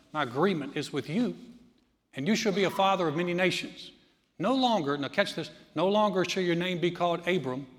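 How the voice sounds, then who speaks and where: A man preaching a sermon as pastor, moving from easy conversational teaching into loud, emphatic delivery. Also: a little high, in a large hall.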